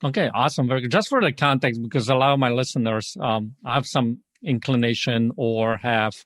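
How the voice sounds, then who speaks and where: A man's voice: medium pitch, fairly quick, no room sound, clean studio sound. A man hosting a podcast in an upbeat, emphatic, motivational way, often posing rhetorical questions as he introduces and interviews. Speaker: podcast host.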